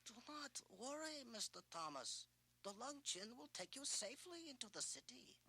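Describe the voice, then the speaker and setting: A man playing an old, menacing Chinese crime boss in a 1980s record drama, speaking in formal, deliberate phrases. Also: very high voice, big pitch swings, bright, forceful tone, full character voice. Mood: smoothly reassuring. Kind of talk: 1980s children's record audio drama acting.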